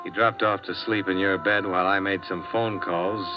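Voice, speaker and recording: An actor playing a tough, level-headed insurance investigator in a 1950s radio drama, speaking in a terse, probing, hard-boiled way. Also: male voice, low-pitched, fairly even intonation, normal speed, loud music behind the voice, lightly acted. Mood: matter-of-fact. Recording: dull, band-limited old 1950s radio recording.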